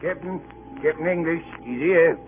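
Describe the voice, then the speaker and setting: A man's voice: on the high side, moderate pace, close to an everyday voice. Man playing a wry ship's officer, old radio. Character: wry, irreverent male ship's officer reporting to his captain. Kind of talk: 1940s radio drama acting. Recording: old narrowband radio recording, faint music.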